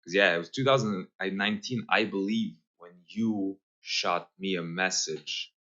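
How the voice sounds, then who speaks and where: A thirty-year-old man from Serbia with a Serbian accent, talking casually and reflectively as an interview guest, pausing as he finds his words. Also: low-pitched, pushed and bright, lo-fi sound. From Serbia, Novi Sad. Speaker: podcast guest, holistic guide.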